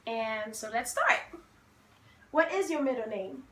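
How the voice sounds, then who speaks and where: A woman's voice: a little high, expressive, measured pace, fairly bright tone, reverberant, slight background noise. A woman in her twenties from Haiti hosting a casual chat video with her brother, playful and self-assured, laughing often. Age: 20s. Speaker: vlogger host.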